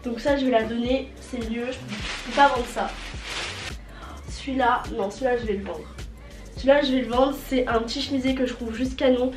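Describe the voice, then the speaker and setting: A woman's voice: on the high side, steady tone, a little fast. A young woman with a cold and a nasal voice, chatting casually in a fashion vlog and repeating words for emphasis, filmed by a fixed camera in her bedroom. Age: young adult. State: congested from a cold. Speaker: vlogger. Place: bedroom with a closet, indoors. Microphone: fixed camera at table distance. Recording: roomy indoor sound with faint music.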